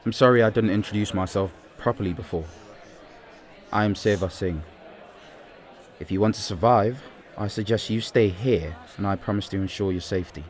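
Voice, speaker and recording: Man playing low-voiced, protective Sikh rescuer. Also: moderate pace, lightly acted. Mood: reassuring. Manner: earnest, instructing. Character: calm, protective Sikh man guiding pilgrims to safety. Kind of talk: audio drama dialogue.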